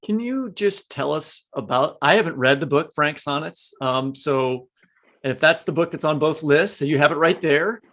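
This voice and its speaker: A man's voice: a little high, normal speed, a bit bright. A man, co-hosting a podcast and putting questions to a guest in a conversational way. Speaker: podcast co-host. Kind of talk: conversational interviewing.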